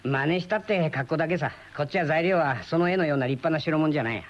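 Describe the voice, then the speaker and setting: A man's voice: on the high side, fast, slight background noise, lightly acted. An actor playing a chatty, wry friend in his thirties in a radio drama, explaining things colloquially. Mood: easygoing. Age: 30s. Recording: dull lo-fi old radio recording.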